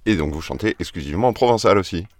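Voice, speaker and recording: Male interviewer. Low voice, brisk delivery, informal conversational questions. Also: expressive, dry recording.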